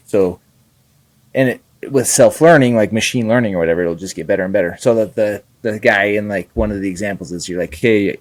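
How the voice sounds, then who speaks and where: Low-voiced man chatting on a podcast. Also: no room sound. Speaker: podcast co-host, fighter pilot. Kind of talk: casual chat.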